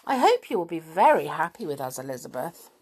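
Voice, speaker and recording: A woman reading aloud and voicing an older headmistress, stern but kindly, who speaks calmly and firmly. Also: low voice, fairly quick, slightly rough, heavily acted. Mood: gentle. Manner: calm and firm.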